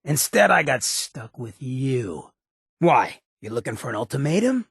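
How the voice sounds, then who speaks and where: Male ghoul rogue, game companion, heavy rasp, wry drawl, swaggering, lively intonation, close dry recording. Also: mid-range voice, unhurried, no room sound, exaggerated voice. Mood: annoyed, threatening. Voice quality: gravelly, raspy. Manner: drawling, streetwise slang, wry. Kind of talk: video game companion dialogue.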